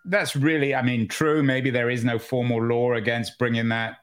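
A male podcast host with a medium-pitched voice conducts an interview. He speaks conversationally, putting probing questions and qualifying his own phrasing along the way. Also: flat delivery, moderate pace, clean tone.

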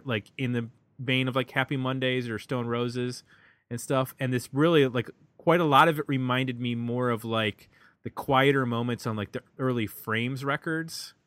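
A man with an American accent casually discussing an album as a podcast host, halting and reflective as he thinks aloud. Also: medium pitch, steady tone, fairly bright tone, dry recording. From United States.

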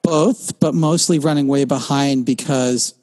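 A man's voice: mid-range voice, fairly even intonation, measured pace, darkish tone. A man co-hosting a tech podcast, explaining at length in a rambling way, with frequent fillers and restarts. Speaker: podcast co-host. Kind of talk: casual tech chat.

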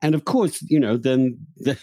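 A man's voice: mid-range voice, expressive, moderate pace, mellow, dry recording. A man hosting an interview in casual, thoughtful talk, searching for his phrasing as he goes. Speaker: podcast host interviewing.

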